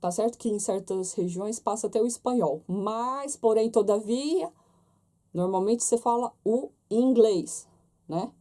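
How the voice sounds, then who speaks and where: A woman's voice: on the high side, unhurried, warm tone. A young Brazilian woman with a Brazilian Portuguese accent, chatting casually to her viewers and checking in with them often. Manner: chatty, talks straight to viewers with many tag questions. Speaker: vlogger. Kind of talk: casual chat to camera.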